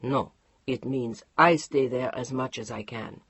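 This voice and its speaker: A woman voicing a controlling husband who speaks quietly and firmly. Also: unhurried, slightly rough, dry recording. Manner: low, firm, unbending.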